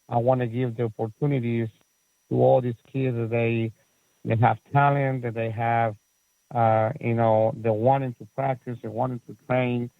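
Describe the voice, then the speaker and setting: A man's voice: medium pitch, vocal fry, no echo. A man in his forties from Chile with a Chilean Spanish accent, speaking as a guest in a casual interview, rambling with many fillers and restarts. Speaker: guest, soccer facility owner and coach. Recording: tinny phone-like line.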